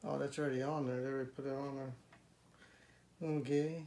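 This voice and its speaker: A man's voice: medium pitch, level tone, fairly quick, warm tone. A man helping a child unbox and build a toy at home, talking in an easygoing way and asking questions as he puts pieces together. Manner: easygoing, asks questions while assembling. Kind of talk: casual home video chat. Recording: noisy home video.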